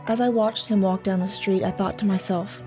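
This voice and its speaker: A woman's voice: mid-range voice, fairly even intonation, a little fast, close to an everyday voice. Woman playing a wistful soldier's wife, music behind. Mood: wistful, reminiscing. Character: devoted soldier's wife and mother, wistfully reminiscing. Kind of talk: audio drama acting.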